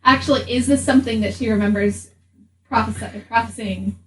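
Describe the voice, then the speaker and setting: A woman's voice: fairly high pitch, normal speed. A woman playing a tabletop game, roleplaying in a casual, conversational way. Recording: roomy distant mic, faint hiss.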